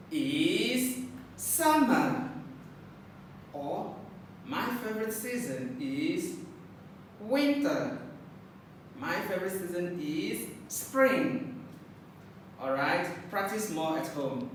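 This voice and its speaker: A man teaching an English lesson to children, slow and patient, repeating words and spelling out capital letters. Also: high voice, big pitch swings, a bit bright. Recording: echoey room, far from mic, faint noise.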